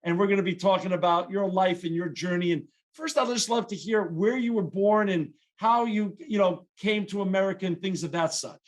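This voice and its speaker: A man's voice: sits high, a little fast, few pauses. A man hosting an interview podcast, asking eager questions with frequent restarts. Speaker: podcast host.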